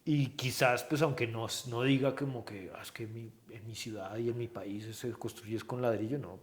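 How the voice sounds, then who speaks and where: A man, a guest artist talking thoughtfully in a casual interview, often pausing and restarting as he searches for words. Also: medium pitch, steady tone. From Colombia.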